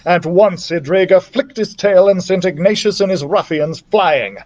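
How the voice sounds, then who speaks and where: A man's voice: high-pitched, moderate pace, a little mellow, dull audio. A man reading a children's story aloud expressively as a library storyteller.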